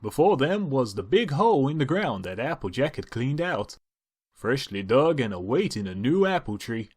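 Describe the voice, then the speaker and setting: Man narrating a story with lively pitch. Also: normal speed, breathy edge. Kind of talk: dramatised storybook-style reading.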